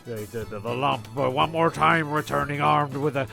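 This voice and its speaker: Guy narrating a funny story, really croaky, trips over his words and repeats bits, music behind. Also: mid-range voice, normal speed, sharp tone. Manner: stumbling, repeating phrases, offhand.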